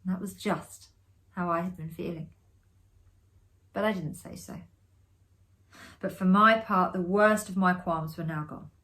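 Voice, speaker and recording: Woman doing an expressive ghost story reading, storyteller style, acts it out. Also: on the low side, measured pace, pauses often. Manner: expressive, acting out the dialogue. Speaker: storyteller, amateur theatre performer.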